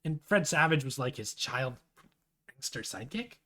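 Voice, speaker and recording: Man playing a teasing, animated teenage boy. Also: mid-range voice, moderate pace. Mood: casual, teasing. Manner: casual, rambling, sarcastic.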